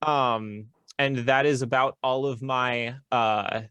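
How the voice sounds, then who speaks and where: A man's voice: medium pitch, takes it slowly, smooth, no echo. Chatty man hosting a podcast, dry sound. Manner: relaxed, chatty, lots of filler ums. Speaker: podcast host. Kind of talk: casual podcast chat.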